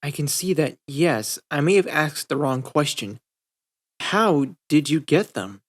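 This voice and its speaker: A man's voice: on the high side, animated, unhurried. A man plays a refined young lady from an audiobook story. The voice is lifted a little, genteel and theatrical, with a fussy chattiness. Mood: soft concern.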